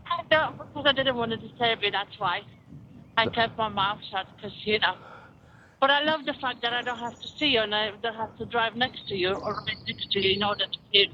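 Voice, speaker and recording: A woman's voice: a little high, a little fast, sharp tone, dull audio, a little hiss or hum. A woman chatting playfully and enthusiastically with her partner over a radio link. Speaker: vlogger's partner.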